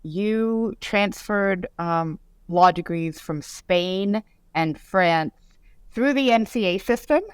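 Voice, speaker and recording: A woman's voice: medium pitch, slow, smooth, no echo. A middle-aged woman hosting a legal podcast, chatting enthusiastically with fillers as she interviews her guest. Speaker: podcast host, lawyer. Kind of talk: casual interview conversation.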